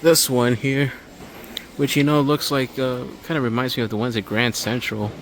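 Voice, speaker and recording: A man's voice: medium pitch, little pitch movement, normal speed, slight background noise. A man giving a rambling, off-the-cuff walkthrough commentary inside a large hardware store, talking like an enthusiastic hobbyist filming for a video. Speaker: amateur video maker. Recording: store music playing behind.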